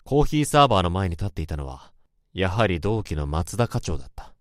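An actor playing a male office worker who can hear thoughts, narrating a radio drama in a measured, introspective way. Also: low-pitched, very animated, no room sound, studio quality. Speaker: narrator and lead character.

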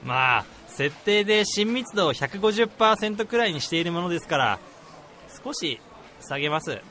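Eager, easily flustered male researcher, played by an actor, highish bright voice, radio comedy drama. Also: very animated, normal speed, exaggerated voice. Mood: deferential. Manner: exclamatory, eager. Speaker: voice actor, also narrator.

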